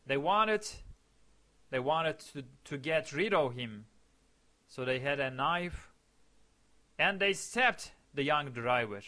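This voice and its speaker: Slow, bright-voiced man. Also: fairly high pitch, lively intonation. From eastern Turkey. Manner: slow, deliberate, repeats phrases as he searches for words. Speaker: storyteller. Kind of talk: personal anecdote told in English.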